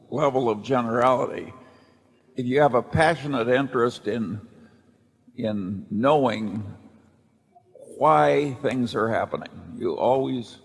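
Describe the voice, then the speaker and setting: An older man seated on a large stage, answering shareholders in a measured, deliberate, aphoristic way. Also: mid-range voice, vocal fry, husky. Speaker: company vice chairman at a shareholder meeting. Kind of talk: unscripted answer at a shareholder meeting. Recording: long hall reverb from the PA.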